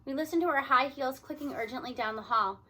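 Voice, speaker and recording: A woman reading a children's novel aloud in an expressive, dramatic narration style. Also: sits high, fast talker. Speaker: audiobook reader. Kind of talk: read-aloud story narration.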